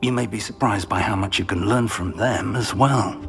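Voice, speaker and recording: Earnest, gravelly British wizard mentor. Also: male voice, fairly low pitch, music in the background. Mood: encouraging. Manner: measured, bookish, formal. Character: older male wizard professor, earnest, curious, caring mentor. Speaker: professor mentor character in a video game. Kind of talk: video game voice acting.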